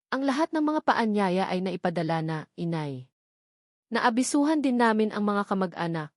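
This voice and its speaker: A woman narrator voicing a gentle, happy young bride-to-be in an audiobook story. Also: mid-range voice, sing-song, normal speed, dry recording, clean studio sound, close to an everyday voice. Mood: content.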